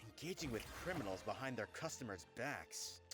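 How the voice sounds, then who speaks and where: A man's voice: medium pitch, animated, normal speed, over music, close to an everyday voice. A man playing a townsman who speaks dismissively and scornfully.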